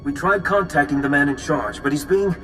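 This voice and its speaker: An actor playing a worried, businesslike military ally in a video game, brisk and concerned. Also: male voice, on the high side, music in the background, lightly acted. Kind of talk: video game cutscene acting.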